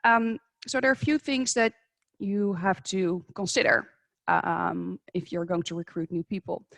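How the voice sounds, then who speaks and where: A woman with a Dutch accent presents at an online conference, speaking in a measured, slightly hesitant way and pausing often on fillers. Her voice sits a little low. The sound is dry and close, as on a remote call. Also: fairly even intonation, creaky, no echo. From Netherlands. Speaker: presenter at a professional webinar. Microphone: remote video call.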